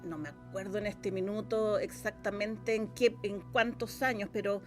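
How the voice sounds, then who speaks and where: Chilean female guest, medium pitch, casual and halting as she explains, faint music behind. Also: fairly even intonation. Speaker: interview guest, radio drama scriptwriter. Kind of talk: casual explanatory interview chat.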